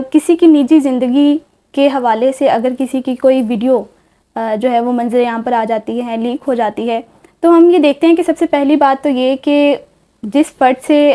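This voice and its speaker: Woman, Pakistani accent, high pitch, mellow tone, talk show host, polite, hesitant phrasing. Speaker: programme host. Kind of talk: interview on a literary and social talk show.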